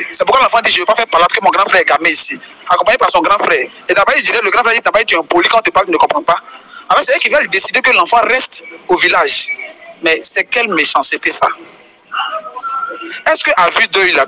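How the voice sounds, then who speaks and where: A man's voice: sits high, pushed and bright, poor audio. A man with an Ivorian French accent whose first language is Baoulé, leaving a voice message that explains a controversy and firing off indignant rhetorical questions. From Côte d'Ivoire. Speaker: caller.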